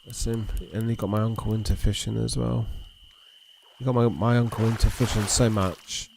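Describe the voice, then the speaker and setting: A man hosting a game livestream, chatting in a relaxed, rambling way with a gravelly voice. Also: on the low side, moderate pace, background noise. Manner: relaxed, rambling, talks to chat and reads messages aloud. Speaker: livestream host. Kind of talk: casual chat while streaming a fishing game.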